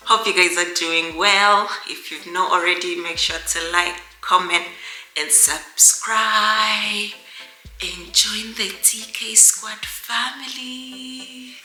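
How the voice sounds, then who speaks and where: Bright-voiced woman vlogger. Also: mid-range voice, fairly even intonation, takes it slowly, reverberant, soft background music. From Uganda. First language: Luganda. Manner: chatty, addresses viewers directly, reads an email aloud. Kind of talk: casual vlog chat to camera.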